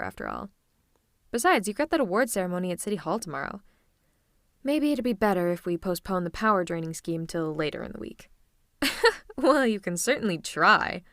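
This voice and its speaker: A woman's voice: fairly low pitch, dry recording, clean studio sound. A woman playing a teasing, theatrical supervillain who is fond of her nemesis, in an intimate ASMR roleplay. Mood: playful, fond. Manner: coaxing, drawn-out endearments and monologues.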